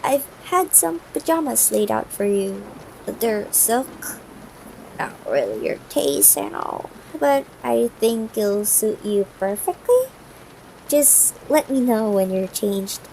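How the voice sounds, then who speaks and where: Slow, creaky-voiced possessive CEO ex-girlfriend played by a woman. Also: a little high, big pitch swings, exaggerated voice. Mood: flustered, evasive. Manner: soft-spoken, hesitant, formal wording. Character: wealthy possessive female CEO ex-girlfriend, tender, yearning, gently commanding. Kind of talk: intimate ASMR roleplay, scripted. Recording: noisy broken-microphone home recording.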